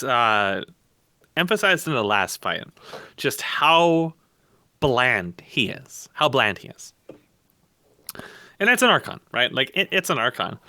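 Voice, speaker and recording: A man's voice: medium pitch, pushed and bright, no echo, clean studio sound. A man co-hosting a podcast in casual, opinionated discussion, rambling and emphatic, repeating words for stress. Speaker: podcast co-host.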